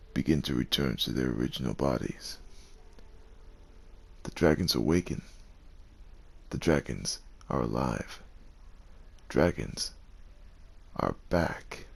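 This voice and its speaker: Story narrator, male, extremely low and creaky, husky, dramatic scripted read. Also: fairly bright tone.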